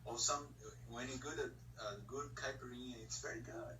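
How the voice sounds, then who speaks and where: Halting Brazilian man in an echoey room. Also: mid-range voice, steady tone, bright, forceful tone, distant mic, a little hiss or hum. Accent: Brazilian Portuguese. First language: Portuguese. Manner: halting, searches for words. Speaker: English student. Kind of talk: online conversation lesson.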